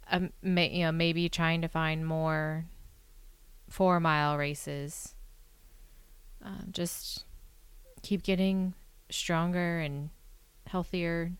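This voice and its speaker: A woman with a low, smooth voice chats as a podcast guest. Her manner is matter-of-fact and dry, with light joking. The sound is close, with no room echo. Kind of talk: casual chat.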